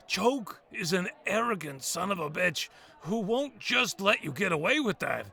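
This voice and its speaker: A man's voice: on the high side, creaky, sharp tone, exaggerated voice. A man playing a folksy, hesitant old diner owner in an audio drama. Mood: relieved, grateful.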